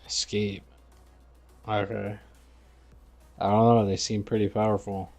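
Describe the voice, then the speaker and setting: Male game streamer, low voice, slow casual slangy talk, half to himself, soft music and typing behind. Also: level tone, vocal fry. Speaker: livestreamer playing a text adventure game. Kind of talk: casual gaming stream chat.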